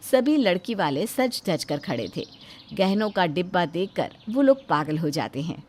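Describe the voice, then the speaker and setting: A woman's voice: fairly low pitch, sing-song, unhurried, a little mellow, a little hiss or hum. A woman narrator telling a scripted domestic moral tale in a dramatic storytelling style.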